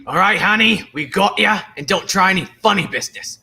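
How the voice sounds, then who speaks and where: A young man acts a folksy, pushy henchman in 1930s radio style. The voice is hard-edged and lively, with lots of rise and fall as he orders people about. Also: sits high, brisk, a little hiss or hum, heavily acted. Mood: bossy, menacing. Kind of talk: 1930s radio play acting.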